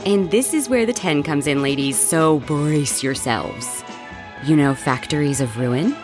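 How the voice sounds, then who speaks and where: A woman playing an excitable, bold young roommate who spins gossip dramatically in a horror audio drama. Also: low voice, a little slow, music in the background. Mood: building suspense. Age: young adult. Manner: animated, teasing, dramatic storytelling.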